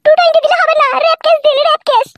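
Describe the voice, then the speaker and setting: A woman's voice: extremely high pitch, steady tone, racing, background noise. A woman voicing a pushy, indignant young village widow in a comedy cartoon, complaining insistently. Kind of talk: Bengali comedy cartoon dubbing.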